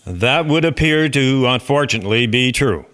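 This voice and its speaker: A man's voice: mid-range voice, expressive, moderate pace, a bit bright, smooth, lightly acted. A man playing an earnest chairman of a farmers' agricultural society in a historical drama, speaking formally and instructively. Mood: cautioning, urging.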